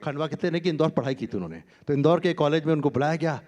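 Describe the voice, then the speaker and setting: A man's voice: on the high side, rapid-fire, darkish tone. A man, a doctor on a conference panel stage, telling anecdotes in a chatty way that mixes Hindi and English, laughing often.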